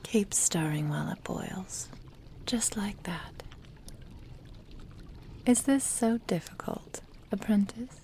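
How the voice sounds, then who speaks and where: A woman playing a doting, possessive immortal witch in an ASMR roleplay, murmuring tenderly and intimately in a breathy whisper to her apprentice. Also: mid-range voice, animated, warm tone. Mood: gently encouraging. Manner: slow, tender, intimate delivery.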